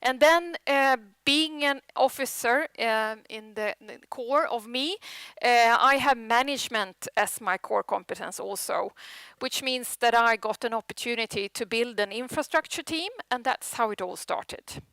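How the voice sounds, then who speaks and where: A woman's voice: on the high side, unhurried, pushed and bright, smooth, no echo. A Swedish woman in her late forties with a Swedish accent, giving a chatty, self-deprecating conference talk with many fillers. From Sweden. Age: 40s. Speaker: conference speaker. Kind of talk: conference presentation. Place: conference room.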